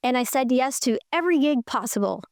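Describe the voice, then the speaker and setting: A middle-aged woman from Colombia with a fairly high, clear, smooth voice. She tells her story in an animated, enthusiastic way. The sound is dry and close. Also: a little fast, no room sound. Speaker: guest. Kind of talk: conversational interview storytelling.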